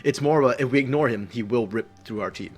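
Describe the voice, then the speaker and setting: A man's voice: medium pitch, fast talker. A man giving esports colour commentary, explaining strategy at length in an analytical way.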